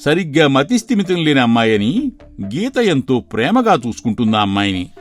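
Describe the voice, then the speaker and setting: A man voicing a kindly middle-aged father in an animated story, fond of his daughter and stern when he scolds. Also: mid-range voice, moderate pace, faint music behind, close to an everyday voice. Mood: explaining.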